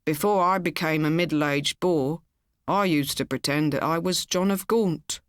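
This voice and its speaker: A woman voicing a kindly middle-aged husband, fussy, gently sceptical and reassuring, in an audiobook story. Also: sits low, unhurried, no room sound, clean studio sound. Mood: tender. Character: kindly middle-aged husband, cheery, solicitous, a bit dull.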